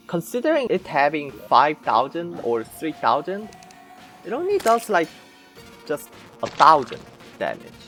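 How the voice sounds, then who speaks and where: A video game host reading a scripted gaming narration in a halting way, stressing key words. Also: mid-range voice, a bit bright. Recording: background music under voice-over. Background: music.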